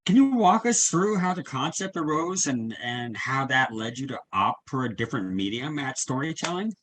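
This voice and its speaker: A man's voice: medium pitch, expressive, normal speed, a bit bright, low-quality recording. A man hosting a casual interview over a video call. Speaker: interviewer, host.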